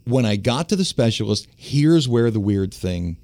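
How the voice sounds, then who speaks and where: A male radio host with a low baritone and a neutral voice with no trace of a regional accent. He talks at an everyday middle pitch. He speaks close to a studio microphone and tells stories in a loose, chatty way with frequent restarts. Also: expressive, normal speed, a little mellow. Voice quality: lower baritone voice. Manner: storytelling, conversational, with restarts. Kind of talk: casual radio chat.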